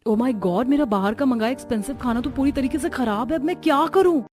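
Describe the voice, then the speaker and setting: A woman's voice: sits high, a little fast, a little mellow, close to an everyday voice. A woman playing a snobbish modern young sister-in-law who sprinkles English into her speech. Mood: panicked.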